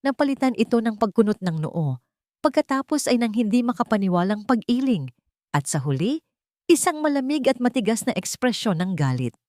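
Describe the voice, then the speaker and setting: A woman's voice: fairly high pitch, big pitch swings, a little fast, a little mellow, no room sound, clean studio sound. A woman reading a dramatic scripted story as its narrator.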